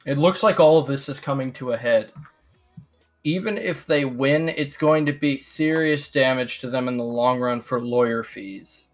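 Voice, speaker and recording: A man giving casual livestream commentary, explaining things in a deliberate, hedging way. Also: mid-range voice, faint music behind.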